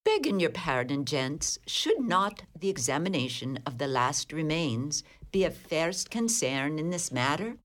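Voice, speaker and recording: An actress playing a bold, caring, outspoken Irish lass in a radio play, with an earnest manner. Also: female voice, low voice, very animated, a little slow, hardly any pauses. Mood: earnest, concerned.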